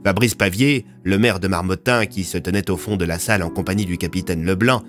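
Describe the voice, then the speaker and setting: Un homme qui raconte une fiction audio, en narration dramatique écrite. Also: male voice, deep voice, brisk, fairly bright tone, soft background music. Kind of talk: scripted dramatic story narration.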